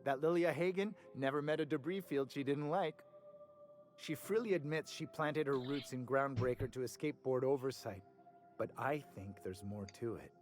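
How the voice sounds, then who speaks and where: A man acting a gossipy space-station trader from a video game. He is cynical and opinionated, with a folksy manner and a middling male pitch. Faint music plays behind him. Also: moderate pace, close to an everyday voice. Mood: wry, confiding.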